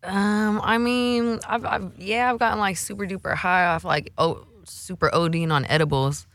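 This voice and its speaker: A woman's voice: medium pitch, fairly even intonation, moderate pace, fairly bright tone, no room sound. A woman guest, a music producer and songwriter, talking in an upbeat, chatty and slangy way. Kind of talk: casual chat.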